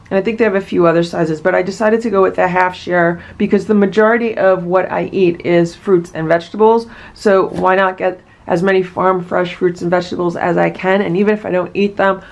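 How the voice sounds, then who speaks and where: Upbeat woman host with a somewhat low voice, chatting casually through an unboxing at home. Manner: enthusiastic, chatty, many asides. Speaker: vlogger unboxing a produce box.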